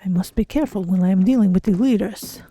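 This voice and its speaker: A woman voicing a careful, observant female cat-folk adventurer in a game playthrough, speaking in deliberate, measured phrases as if to herself. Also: medium pitch, warm tone, slight background noise, natural voice. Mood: careful, musing. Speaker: streamer voicing her game character. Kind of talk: in-character game playthrough narration.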